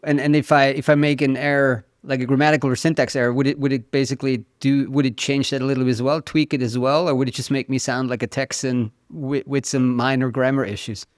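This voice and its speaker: A man's voice: mid-range voice, steady tone, fast, few pauses, no echo, clean studio sound. A man with a German accent hosting a podcast, asking questions and rephrasing them on the fly. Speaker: podcast host. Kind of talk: interview questions.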